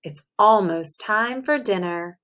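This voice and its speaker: A woman voicing a calm, patient mother giraffe in a storybook, gently giving instructions. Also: mid-range voice, slow. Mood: firm, calm.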